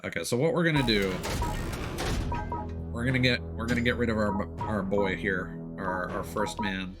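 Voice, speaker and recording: A man's voice: lowish voice, moderate pace. A man doing casual live gameplay commentary, thinking aloud and chatting to his viewers as he plays. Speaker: game streamer. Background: video game music.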